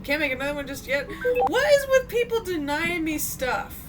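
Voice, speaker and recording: Female gamer, playful and mock-bossy; high, very animated voice, casual co-op chat. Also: normal speed, loud music behind the voice. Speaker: gamer playing with a friend. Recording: loud background noise.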